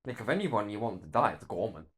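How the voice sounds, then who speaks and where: A man's voice: lowish voice, big pitch swings, fast talker, a bit bright. A man co-hosting a film podcast, casually chiming in with short, agreeable remarks on a movie. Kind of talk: casual movie commentary chat.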